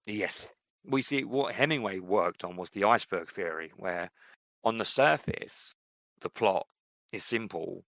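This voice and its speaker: A man's voice: sits low, measured pace, fairly bright tone, no echo. A man co-hosting a casual podcast, talking through books and writing in a thoughtful, rambling way, with many fillers and restarts. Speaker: podcast co-host. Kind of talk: casual chat about books and fiction.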